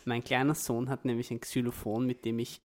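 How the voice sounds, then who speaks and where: A man's voice: mid-range voice, level tone, brisk. A man, a young parent co-hosting a casual podcast, joking and ranting with exaggerated disbelief. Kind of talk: casual chat.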